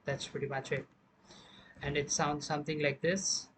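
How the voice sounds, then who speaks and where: Flat-toned man explaining step by step, noisy background. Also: fairly high pitch, moderate pace. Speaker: music production tutorial presenter. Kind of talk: informal screen-recorded software tutorial.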